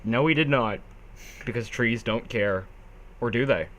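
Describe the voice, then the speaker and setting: A man's voice: medium pitch, unhurried, a bit bright. A man giving joking, crude side commentary on a story, repeating phrases for comic effect. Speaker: co-reader.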